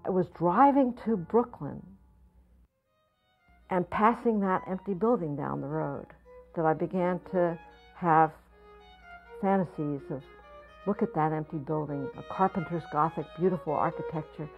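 A woman's voice: on the low side, unhurried, pauses often, lo-fi sound, faint music behind. An older woman, a potter, talking reflectively in an interview, often searching for words and repeating herself. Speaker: potter and art school founder. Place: studio or home with pottery shelves.

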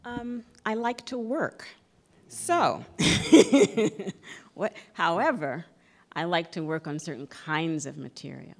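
A middle-aged woman, an actress, speaking off the cuff on a panel with expressive emphasis that moves between loud and hushed. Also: fairly low pitch, takes it slowly, long pauses. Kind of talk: unscripted panel discussion. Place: large theatre stage before an audience. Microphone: microphone on a stand, close.

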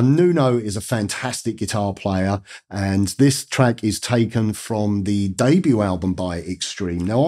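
An English man in his fifties teaching guitar to camera, croaky, nasal and gruff from a cold, chatty and rambling. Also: lowish voice, dark tone. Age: 50s. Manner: chatty, rambling, enthusiastic with fillers. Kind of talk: casual guitar lesson talk to camera. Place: dark studio indoors.

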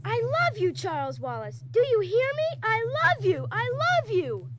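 A headstrong, loving young girl played by a woman in a radio play. The voice is very high and animated, over loud humming noise. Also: moderate pace, exaggerated voice. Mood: desperate love. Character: earnest, stubborn, loving teenage girl heroine. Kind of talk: audio drama acting. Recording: very noisy, humming background.

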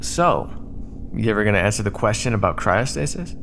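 A sarcastic, prickly starship crewman, voiced by a man in an ASMR roleplay. His pitch swings widely as he snaps and grumbles with exasperation. A faint ambient hum sits behind him. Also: sing-song. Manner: sarcastic, exasperated, quick-tempered.

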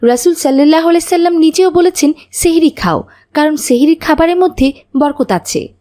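A woman's voice: sits high, lively intonation, a little fast, dark tone. A woman voicing a sensible, caring older sister in a cartoon, earnest and admonishing.

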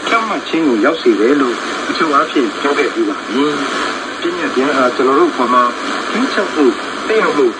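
Very fast man on noisy radio. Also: a little high, big pitch swings. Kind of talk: scripted religious radio talk. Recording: very noisy shortwave radio through small speaker.

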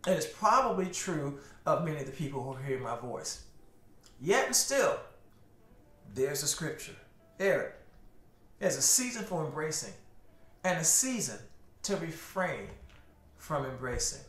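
A man's voice: a little high, sing-song, measured pace, pauses often, fairly bright tone, reverberant. A middle-aged man preaching a sermon from his home study into a desk camera, earnest and preacherly, repeating words as he builds emphasis. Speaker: pastor. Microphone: camera at desk, head and shoulders framing.

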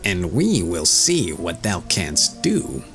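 A pair of jumpy grown thieves mutter warily in an old storybook tale, as a man puts them on. Soft music sits under the reading. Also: low voice, wildly swooping pitch, slow pace, full character voice. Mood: wary. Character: two furtive adult male thieves.

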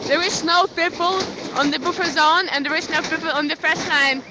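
A high-pitched young woman with a Ukrainian accent talks into her phone outside in the cold. She speaks English slowly, searching for words. Her voice comes through a muffled, lo-fi stream, and loud outdoor noise rumbles behind her. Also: fairly even intonation. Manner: halting, searching for words, grateful. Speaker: live streamer. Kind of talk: live street stream, reading comments.

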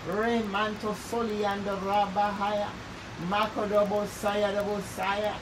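A woman leading fervent prayer, raspy-voiced, with intense repeated declarations and speaking in tongues. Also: mid-range voice, flat delivery, slow. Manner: intense, repetitive prayer, breaks off to check audio. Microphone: phone or earpiece mic. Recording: distant mic with clear background noise.

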